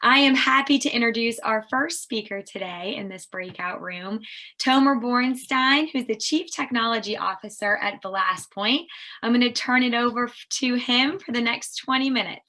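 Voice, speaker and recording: A woman's voice: on the high side, moderate pace, bright, forceful tone. A woman moderating a session, politely introducing the first speaker. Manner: polite and welcoming.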